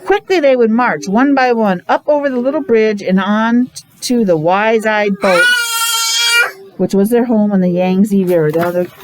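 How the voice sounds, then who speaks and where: A woman, a teacher reading a picture book aloud to young children, pausing to explain and ask questions in simple words. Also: medium pitch, noisy background. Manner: child-directed, asking questions, repeating words for emphasis. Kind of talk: animated read-aloud with casual explanatory asides.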